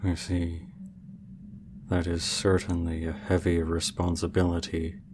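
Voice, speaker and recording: A man voicing an ancient, aloof male dragon in human form, speaking in a formal, measured and reserved way for an ASMR roleplay. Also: deep voice, monotone, background noise. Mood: calm, aloof.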